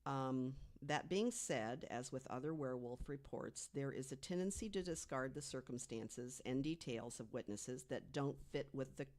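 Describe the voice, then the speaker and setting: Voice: medium pitch, moderate pace, hardly any pauses, darkish tone. An American podcast co-host telling a researched story in a conversational way, partly from prepared notes.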